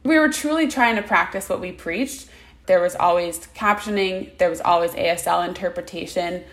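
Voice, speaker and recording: A woman in her twenties, speaking as an interview guest in an earnest, quick way over a video call. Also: on the low side. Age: 20s. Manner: earnest, fast-talking. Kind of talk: casual interview answers.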